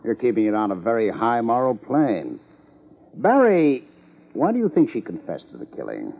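An actor playing a sardonic, teasing police lieutenant with an American accent, in a 1950s radio drama. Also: male voice, mid-range voice, wildly swooping pitch, moderate pace, gravelly, breathy edge, heavily acted. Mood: dry teasing. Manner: dry, teasing sarcasm. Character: sardonic, needling male police homicide lieutenant. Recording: dull 1950s radio recording.

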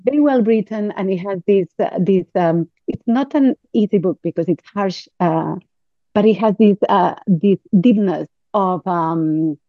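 A woman with a Catalan accent, a native Catalan speaker, talking as a guest on a podcast in a halting way with many fillers and restarts. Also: mid-range voice, dry recording, narrow, tinny audio. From Catalonia, Spain. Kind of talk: podcast interview.

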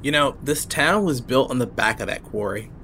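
An easygoing small-town dad in an audio drama. His pitch is average and his manner is paternal, fond and lightly teasing, with a soft rumble in the background. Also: expressive, normal speed. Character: easygoing, affectionate, protective small-town father figure.

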